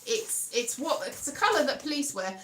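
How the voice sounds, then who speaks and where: A woman's voice: sits high, sing-song, normal speed, fairly bright tone. A Welsh woman chatting casually and rambling, correcting herself as she goes and slipping into playful sing-song. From Wales. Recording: echoey room with faint guitar music.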